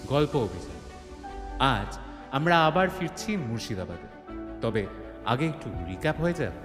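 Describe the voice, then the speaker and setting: A man's voice: mid-range voice, big-room sound. A man narrating a scripted story theatrically, with suspense and wry colloquial humour.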